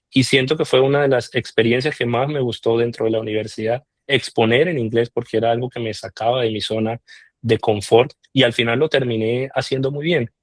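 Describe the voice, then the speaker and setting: A man from Colombia, answering interview questions in a measured way with frequent filler pauses. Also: medium pitch, dry recording. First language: Spanish. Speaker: interviewed scholarship alumnus.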